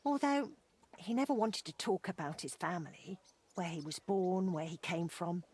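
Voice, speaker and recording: A woman's voice: on the low side, normal speed, a little mellow, slightly rough. An actress playing a motherly older blacksmith's wife in a video game, plainspoken and wistfully reminiscing. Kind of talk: video game dialogue acting.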